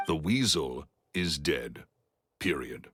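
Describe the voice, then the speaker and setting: An actor voicing a gruff, impatient businessman in his thirties for a video game, curt and commanding, with a booming voice. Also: animated, slow, a bit bright, a little hiss or hum, heavily acted. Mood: irritated, dismissive. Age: middle-aged. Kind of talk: English video game voice acting.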